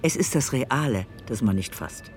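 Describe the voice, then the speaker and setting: A woman's voice: very deep voice, expressive, moderate pace, a little mellow. An actress playing an oracular woman in an experimental radio play, solemn and declarative. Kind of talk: scripted experimental radio drama. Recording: faint ambient noise.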